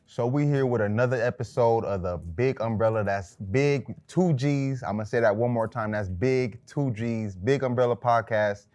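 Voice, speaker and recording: A man hosting a podcast, asking questions in casual, slangy talk and chiming in with quick remarks. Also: mid-range voice, a little mellow. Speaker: podcast host. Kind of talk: casual interview chat.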